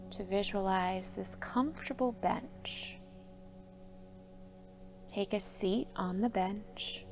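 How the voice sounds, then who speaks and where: A woman yoga instructor leading a guided sleep meditation, giving soft, soothing instructions with calm pauses. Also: mid-range voice. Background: soft background music.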